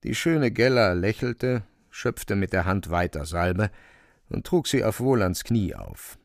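Low, creaky male narrator, very dry. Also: very animated, unhurried, no echo. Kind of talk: literary narration in a radio play.

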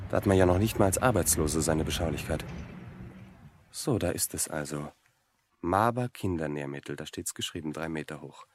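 An actor playing a polite, ponderous man in his twenties looking for work, wry and deliberate, in a radio drama. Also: a bass voice, slight background noise. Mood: grumbling, searching. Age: 20s. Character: hesitant, polite, ponderous young male job seeker, former student. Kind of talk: German radio drama dialogue.

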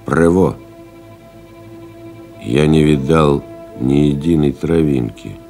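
Worn out stoic pilot telling his desert story, really low voice, slow and brooding, bit of music under it. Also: creaky, dark tone, exaggerated voice. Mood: weary, grave. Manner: grave, deliberate, brooding, with pauses. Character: weary, stoic male pilot stranded in the desert. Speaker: actor narrating in first person. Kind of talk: dramatic literary audio play narration. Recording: music behind the voice.

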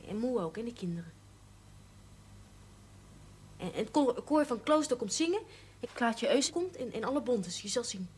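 An actress playing an earnest young bride-to-be pleading as a daughter in a television drama. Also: female voice, a little high, lively intonation, moderate pace, pauses often, breathy edge. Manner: earnest, coaxing. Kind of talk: naturalistic television drama dialogue. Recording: slightly noisy old television soundtrack.

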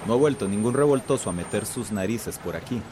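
An actor playing a gruff, curt, disdainful company boss in a radio drama. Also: male voice, on the low side, animated, a little fast, noisy background, close to an everyday voice. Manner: dismissive, curt.

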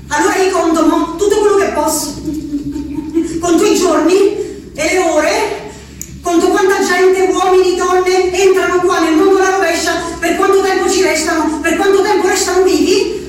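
Brief: an asylum inmate with an Abruzzo accent, very high voice, miked from far across an echoing stage with noise. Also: female voice. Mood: fervent. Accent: Abruzzo Italian. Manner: fervent, rambling, repetitive. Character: woman shut in an asylum, obsessively counting. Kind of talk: stage monologue. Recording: echoey stage from far off, noisy.

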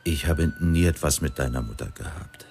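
An old widowed teacher in a radio drama. His voice is extremely low and creaky, his delivery halting, wistful and guileless. Music plays clearly underneath. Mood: wistful denial. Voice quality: gravelly. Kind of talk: radio play dialogue.

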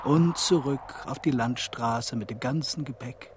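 An actor in a German radio play playing a meek, put-upon traveller, timid and resigned. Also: male voice, medium pitch, unhurried, background noise, close to an everyday voice. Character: meek, put-upon male traveller telling his misfortunes.

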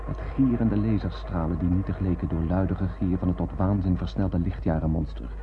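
An actor playing a haunted lone survivor of a space voyage, narrating solemnly and poetically in a radio drama. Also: male voice, low voice, fairly even intonation, a little fast. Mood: grave, intense. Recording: noisy, thin, phone-like old radio recording.

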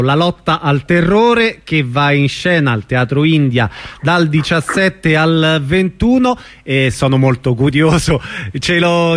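A man hosting an informal radio interview, putting questions in a loose, slightly hesitant way. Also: a little high. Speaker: radio host.

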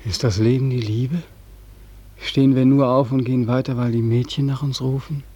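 An actor playing an anguished, despairing young soldier home from war in a 1940s radio play, with urgent questioning and dramatic repetition. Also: male voice, mid-range voice, steady tone, normal speed, warm tone, dull audio, close to an everyday voice. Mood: yearning. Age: young adult. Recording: noisy, crackly 1940s radio recording.

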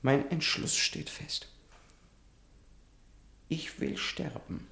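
An actor playing a gravely wounded, stubborn and vengeful man in a German radio drama, weak and in pain, with a breathy, strained, halting delivery. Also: a little high, bright, forceful tone, heavily acted. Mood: bitter resolve. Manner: halting, labored, resolute.

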